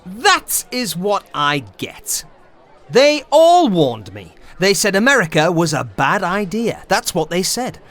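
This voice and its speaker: A woman's voice: fairly low pitch, very animated, takes it slowly, a faint crowd, exaggerated voice. A woman playing a frantic, exasperated immigrant parent in an audio drama, ranting about going home. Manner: exasperated ranting with big swoops.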